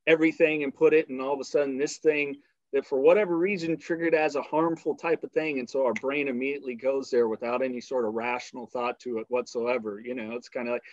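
A man's voice: mid-range voice, dry recording, muffled recording. A man hosting a podcast interview, talking in long rambling turns with blunt, salty language. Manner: rambling, blunt, swears freely. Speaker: podcast host. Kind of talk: casual interview conversation.

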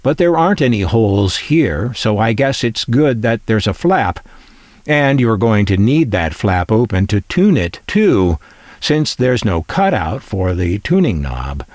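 A middle-aged American man with a low voice that moves freely up and down. He talks like an opinionated collector showing off his things, wry and conversational. The recording is close and dry, with no room around the voice. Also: sing-song, unhurried, darkish tone, dry recording. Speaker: collector presenting vintage transistor radios. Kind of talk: conversational video narration.

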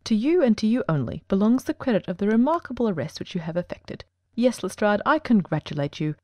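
Lady doing cool brainy detective man, super quick, soft-edged tone, formal audiobook. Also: mid-range voice, sing-song, no room sound, close to an everyday voice. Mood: assured, dry. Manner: crisp, formal, commanding. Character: coolly self-assured, precise male detective genius. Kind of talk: dramatic audiobook reading.

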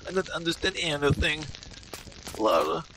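A man voicing a prim, bewildered male game streamer who stammers in halting broken English. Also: deep voice, background noise, lightly acted. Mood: alarmed. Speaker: gameplay host. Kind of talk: improvised comedy gameplay commentary.

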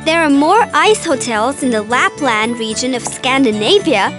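A woman's voice: sits high, animated, normal speed, over music. A woman voicing a cheerful, confident young girl adventurer in a children's cartoon. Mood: upbeat, confident.

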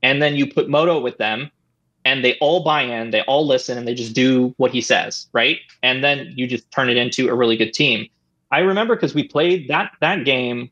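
A man in his thirties, an interview guest, telling old stories in a relaxed, rambling way, on a boom mic at home. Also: lo-fi sound. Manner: relaxed, rambling with many "I mean" and "right?". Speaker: interview guest, former esports player. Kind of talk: casual storytelling. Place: home room, indoors. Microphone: studio mic on boom arm, headset.

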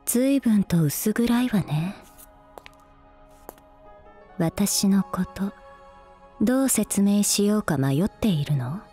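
An eerily calm, devoted senior schoolgirl in an ASMR drama. Her voice is mellow and soft, very close to the ear, and she speaks slowly with a cool deadpan. Faint music sits behind her. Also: mid-range voice. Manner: deadpan, soft-spoken, unhurried, occasionally whispery. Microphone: close binaural microphone.

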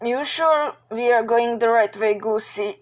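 A woman voicing a shy, gentle young man in an amateur comic dub. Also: fairly high pitch, a little slow, slight background noise, close to an everyday voice. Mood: unsure.

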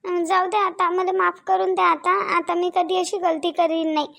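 A high-voiced, frightened village wife, acted by a woman in a Marathi family drama. She pleads tearfully and repeats herself, with a rural Vidarbha accent. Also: steady tone, moderate pace, creaky. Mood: tearful pleading.

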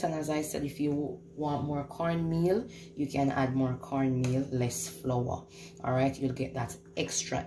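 A woman gives a casual kitchen demonstration in a very low voice. She takes her time, speaking slowly and repeating phrases as she instructs. The home recording has clearly audible noise. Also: darkish tone, reverberant, rough recording. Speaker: home cook hosting a recipe video.

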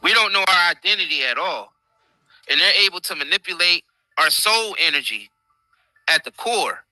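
An American man gives impassioned livestream commentary in a very sharp, forceful voice. He is emphatic and repeats himself in casual slang. Faint music plays behind his close, dry sound. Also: high voice, lively intonation, moderate pace, dry recording, poor audio. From United States. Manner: emphatic, repetitive, informal slang. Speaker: show host. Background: faint background music.

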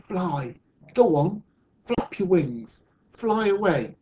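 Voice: mid-range voice, very animated, slow pace, dark tone, highs cut off, slight background noise, pitched above the performer's own voice. A storyteller voicing a confident, imaginative young girl in a storybook read aloud. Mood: coaxing, confident.

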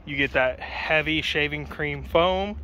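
A man's voice: on the high side, unhurried, highs cut off, slight background noise. A young man casually reviewing a pressure washer and foam cannon outdoors beside a car, chatty and swearing now and then, recorded on a handheld phone. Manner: casual, chatty, curses when excited. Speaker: car detailing vlogger.

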